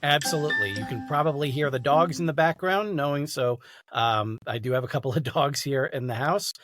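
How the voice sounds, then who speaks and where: A man's voice: mid-range voice, brisk, music in the background. A middle-aged American man from New York City, speaking conversationally as a podcast guest and audiobook narrator. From New York City, United States. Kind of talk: conversational interview.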